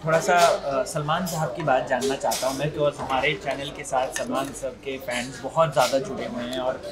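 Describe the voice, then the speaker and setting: An Indian man interviewing a guest for an entertainment channel, asking casual, wordy questions in a halting way. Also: mid-range voice, steady tone, a loud crowd.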